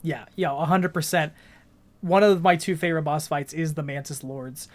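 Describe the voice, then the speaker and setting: Higher-voiced man chatting about games. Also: steady tone, normal speed. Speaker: podcast co-host. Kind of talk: casual video game discussion.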